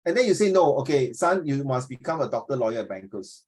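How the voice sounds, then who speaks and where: A Singaporean man in his fifties leads an informal class discussion at a middling pitch. He talks like a teacher thinking aloud, repeating phrases and tagging his sentences with questions and small particles. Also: big pitch swings, fairly quick, a little mellow, slightly rough. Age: 50s.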